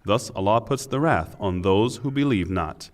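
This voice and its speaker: Expressive man reading scripture formally. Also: on the low side. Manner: measured, formal, solemn. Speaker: narrator reading Quran translation.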